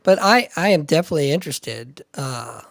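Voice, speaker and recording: A man's voice: a little high, expressive, a little fast, gravelly, a bit bright, no room sound. A man hosting a podcast in casual chat, hesitant and full of fillers and repeated words. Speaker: podcast host.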